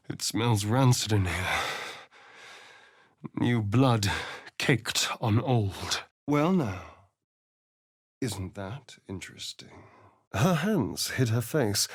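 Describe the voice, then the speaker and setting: A man's voice: medium pitch, vocal fry, slightly rough, dry recording, studio quality, exaggerated voice. A man with a British accent playing a sly, vain vampire spawn rogue in a video game, with an arch, sardonic, theatrical delivery. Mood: theatrical, playful.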